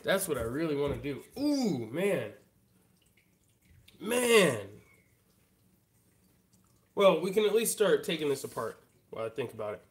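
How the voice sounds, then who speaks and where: A man's voice: fairly high pitch, sing-song, a little slow, pauses often. A man with a stuffy, congested voice from a head cold, chatting casually with live-stream viewers while working at a bench. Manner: laid-back, chatty, talks straight to viewers. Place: hobby workbench.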